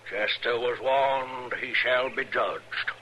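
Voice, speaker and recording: An actor playing a mad, wrathful old backwoods preacher in an old-time radio western, thundering in archaic biblical speech. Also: male voice, mid-range voice, fairly even intonation, takes it slowly, pushed and bright, rough recording. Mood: stern, condemning. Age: older. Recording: hissy old radio drama recording.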